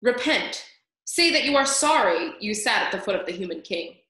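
A woman voicing a pompous, stern male head mouse who judges a trial, speaking in loud, slow, formal pronouncements. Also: mid-range voice, animated. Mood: commanding. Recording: echoey room.

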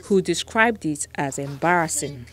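A woman's voice: low voice, animated, a little slow, fairly bright tone. A woman in her thirties with a Nigerian accent, presenting and interviewing for a television news programme. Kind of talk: scripted news narration and interview.

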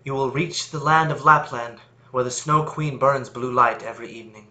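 A man playing a fearful, lonely magician who calls himself lord of the sun, in a deliberate, theatrical monologue. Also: mid-range voice, pushed and bright. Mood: urgent, instructing. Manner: dramatic, incantatory, deliberate.

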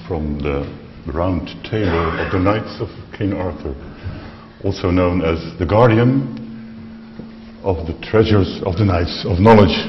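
A man's voice: sits low, slight background noise. A Dutch man playing a solemn royal butler. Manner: earnest, mock-solemn, with restarts. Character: male medieval royal butler guarding knowledge. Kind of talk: costumed role-play talk. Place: large lecture hall. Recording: echoey dull lecture hall sound.

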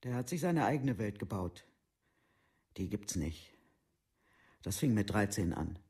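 A woman's voice: extremely low pitch, lively intonation, unhurried, mellow, husky, exaggerated voice. An actress playing an anguished, defensive mother in a documentary radio play, plain-spoken and insistent. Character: Jutta, anguished, defensive mother of accused sons.

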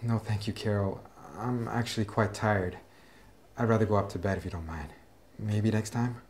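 An actor playing a sleepless, paranoid young man in a horror drama, speaking in a halting, agitated, sweary way. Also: on the low side, fairly even intonation, a little mellow, lightly acted. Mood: tired, polite. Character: sleepless, paranoid, foul-mouthed young man haunted at home. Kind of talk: found-footage horror film acting, confessional to camera. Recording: roomy echo, faint background noise.

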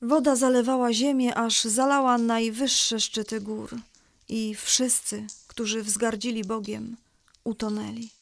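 A woman's voice: a little high, little pitch movement, unhurried, creaky. A woman playing a storyteller who narrates a Bible tale dramatically for children. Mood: solemn storytelling.

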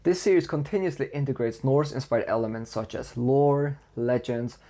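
Mellow-voiced young man reviewing books. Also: medium pitch. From Faroe Islands. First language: Faroese. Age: young adult. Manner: enthusiastic, lists praise in measured steps. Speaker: book reviewer. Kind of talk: scripted book review. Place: indoor room with bookshelves.

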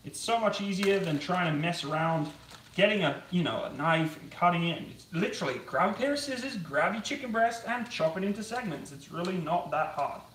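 A man's voice: sits high, animated, a little fast, a bit bright, a little hiss or hum. A young man chatting casually on a live stream while cooking in his home kitchen, rambling and self-deprecating. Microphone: camera on tripod across the kitchen. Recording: roomy, distant kitchen sound.

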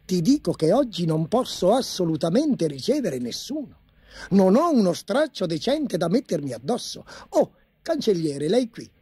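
A man voicing a vain, spoiled emperor who shouts demands petulantly and gets excited easily. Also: high-pitched, moderate pace, husky, no room sound, voice pushed up, exaggerated voice. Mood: impatient.

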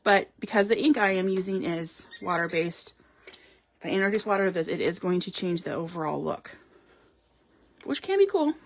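A woman's voice: on the low side, expressive, moderate pace. Chatty woman demonstrating card making. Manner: chatty, explains while working, frequent ums. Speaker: craft store demonstrator. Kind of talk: live card-making demo. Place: craft table at home or in a studio.

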